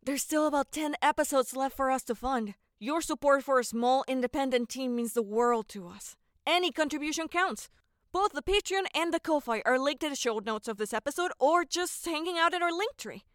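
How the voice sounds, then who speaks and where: A woman's voice: sits high, animated, fairly quick, fairly bright tone, dry recording. A woman making a chatty, informal announcement as a podcast creator, close to the mic.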